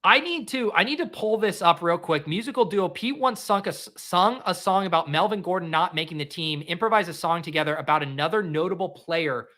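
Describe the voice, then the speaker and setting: Nasal man in his thirties, goofy host. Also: sits high. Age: 30s. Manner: goofy, quick deadpan jokes. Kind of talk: casual chat with a guest.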